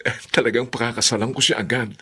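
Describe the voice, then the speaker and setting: An actor playing a devoted, excitable male suitor with eager, emotive delivery in a Filipino radio drama. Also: medium pitch, fast talker, lightly acted. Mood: fond, sincere. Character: devoted, excitable suitor, kindly big-brother type.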